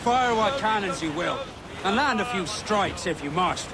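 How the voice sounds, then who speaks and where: An actor playing a commanding, stern veteran pirate captain who instructs with salty seafaring authority in a video game. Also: male voice, high-pitched, expressive, normal speed, a loud crowd. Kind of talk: video game dramatic acting. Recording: loud music bed behind the voice.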